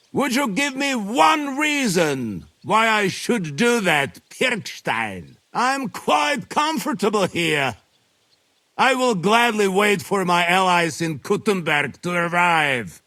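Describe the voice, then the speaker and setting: Slow, pompous besieged nobleman. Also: sits high, sharp tone, heavily acted. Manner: pompous, mocking, formal. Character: haughty besieged nobleman, sarcastic royal chamberlain. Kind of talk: dramatic video game acting.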